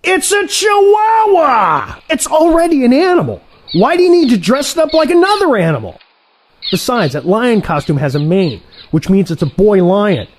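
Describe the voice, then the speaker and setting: A man playing a cocky, easily irritated assassin in a comic audio play, complaining in an animated way. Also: very high voice, normal speed, a little hiss or hum. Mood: exasperated.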